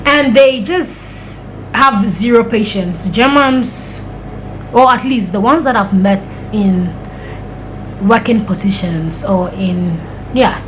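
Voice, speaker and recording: A woman's voice: mid-range voice, slow pace, a little hiss or hum, rough recording. A young woman from Ghana, chatting and rambling through stories to her camera. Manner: chatty, rambling storytelling with acted-out quotes. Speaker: vlogger. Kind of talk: casual chat to camera.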